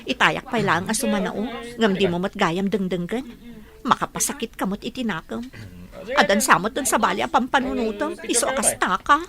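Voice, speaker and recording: A woman with a Filipino accent playing an expressive, concerned young woman in a radio drama, animated and questioning. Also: mid-range voice, fairly quick, a crowd in the background, natural voice. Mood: annoyed. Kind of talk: Ilocano radio drama acting.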